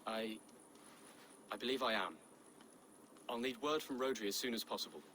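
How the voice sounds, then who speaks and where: An actor playing a gentle, pious young nobleman in a video game, earnest and polite. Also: mid-range voice, moderate pace, a little hiss or hum, natural voice. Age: young adult. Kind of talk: dramatic video game acting.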